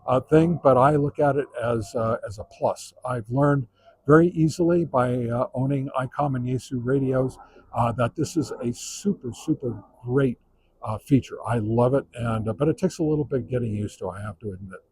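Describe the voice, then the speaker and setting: A man giving a casual product review as a monologue, halting and often pausing mid-sentence. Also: medium pitch, a little mellow, slight background noise, rough recording. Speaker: radio gear reviewer.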